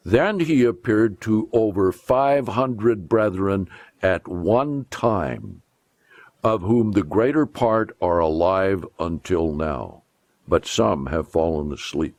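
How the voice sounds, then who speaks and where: A man reading scripture aloud as a pastor would, in a solemn style with deliberate, emphatic phrasing and measured pauses. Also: deep voice, animated, a little mellow, no room sound.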